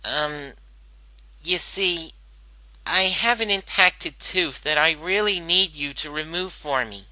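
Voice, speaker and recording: A man voicing a brash but anxious young female cartoon pony, halting and pleading. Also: a little high, bright, forceful tone, no room sound, pitched above the performer's own voice, exaggerated voice.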